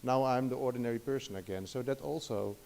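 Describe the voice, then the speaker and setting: A man's voice: medium pitch, quick pace, creaky, darkish tone. A middle-aged Dutch man with a Dutch accent giving an academic lecture in English, hesitant, with many restarts and fillers. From Netherlands. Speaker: guest lecturer.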